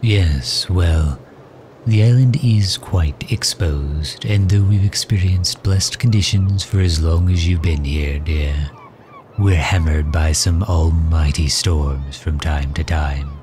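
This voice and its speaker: A man playing a suave, protective villain in an ASMR audio roleplay, speaking in a gentle, courtly, formal and measured manner. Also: very deep voice, steady tone, slight background noise. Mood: reassuring. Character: suave, protective male villain doting on his captive guest.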